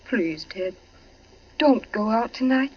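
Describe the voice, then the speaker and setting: An actress playing a poised, evasive suburban wife with hidden unease in a 1940s radio drama, on a dull vintage recording. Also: female voice, a little high, expressive, slow, very noisy. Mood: pleading.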